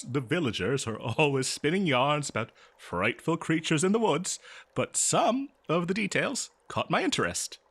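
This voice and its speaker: A man playing a courteous, eager and nervous scholar in a fantasy audio roleplay, speaking politely and haltingly to the listener. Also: on the high side, a bit bright, slightly rough, exaggerated voice. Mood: intrigued. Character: eager, nervous, courteous male human professor of ancient civilizations.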